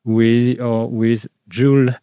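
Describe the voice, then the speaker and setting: A man's voice: medium pitch, level tone, dark tone, clean tone, no room sound, studio quality. Hesitant male podcast host. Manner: halting, many "uh" and restarts. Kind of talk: interview questions.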